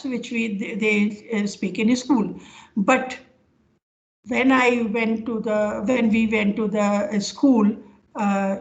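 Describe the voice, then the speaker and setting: Older Indian woman, higher-pitched, lecturing online. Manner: hesitant, halting, explanatory with personal examples. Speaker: professor giving an online lecture. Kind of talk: informal academic lecture. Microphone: video call. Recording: dull, lo-fi online call audio.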